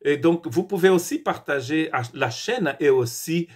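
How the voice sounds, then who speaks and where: Man, husky voice, pastor, earnest devotional talk, halting restarts. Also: a little high, expressive. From Portuguese-speaking country. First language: Portuguese. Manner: earnest, with frequent restarts and hesitations.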